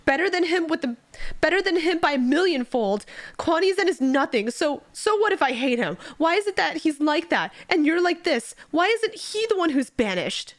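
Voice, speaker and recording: A woman's voice: a little fast, hardly any pauses. A woman voicing a hot-tempered young male servant who rants through tears. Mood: bitter. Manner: high, tearful ranting.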